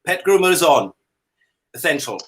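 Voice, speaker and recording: Theatrical man with a bright, forceful voice. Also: a little high, big pitch swings, normal speed. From Canada. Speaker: drag comedy performer, talk show guest. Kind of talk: comic interview chat in a dandy persona. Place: indoor room at home, on a video call. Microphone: video call.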